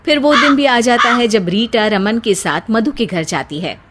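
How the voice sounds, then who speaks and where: A woman's voice: fairly high pitch, normal speed, heavy background noise. A woman narrating a scripted family story as the storyteller.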